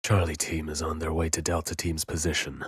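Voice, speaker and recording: A man playing a gruff, seasoned anime soldier, grave and loyal, with a husky voice in an audio drama. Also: monotone, fairly quick, no echo, clean studio sound, heavily acted. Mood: grave, urgent. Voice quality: husky, very deep.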